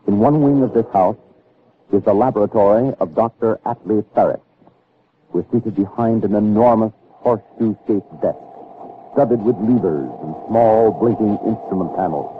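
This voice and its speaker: A man narrating as announcer of an early 1960s radio drama, measured, formal and dramatic. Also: on the low side, phone-quality sound, a little hiss or hum. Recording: thin old radio broadcast with music.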